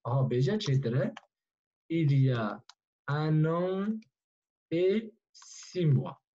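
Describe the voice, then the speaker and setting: A man's voice: a little high, very animated, drawn-out words, warm tone, highs cut off. A man teaching a French class, repeating learners' sentences and encouraging them, often switching languages.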